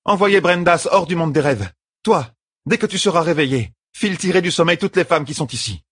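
A man's voice: fairly high pitch, expressive, a little fast, a bit bright, husky, dry recording, clean studio sound, voice pushed up. A man voicing a determined young woman leader in an audiobook, urgent and authoritative. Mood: urgent, commanding.

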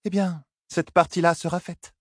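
A man voicing a young noblewoman in an audiobook, earnest and tender, with a soft, coy, careful way of talking. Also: high voice, flat delivery, normal speed, no room sound, studio quality, voice pushed up. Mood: polite.

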